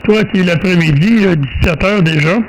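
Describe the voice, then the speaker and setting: Man, Quebec French accent, higher-than-usual pitch, casual hesitant radio chat, faint background hiss. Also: moderate pace, rough recording. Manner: hesitant, repeats words, rambling. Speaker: amateur radio operator. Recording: amateur radio recording, faint static.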